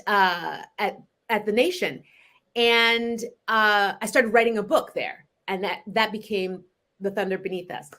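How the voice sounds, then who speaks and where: Woman in her forties, novelist guest from Canada; chatty and animated, mid-pitched, easy conversational delivery. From Montreal, Canada. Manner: chatty and animated, laughs often, frequent restarts. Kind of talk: relaxed conversational interview.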